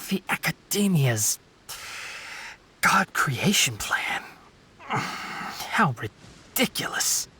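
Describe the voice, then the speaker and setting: An actor playing a proud young male forest healer who is hurt, his light, boyish voice breathy, tired and measured, in a video game. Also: huge pitch swings, bright, forceful tone, full character voice. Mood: weary, disdainful. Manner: measured, weary, understated. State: pained and tired from a wound. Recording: game ambience with low rumble.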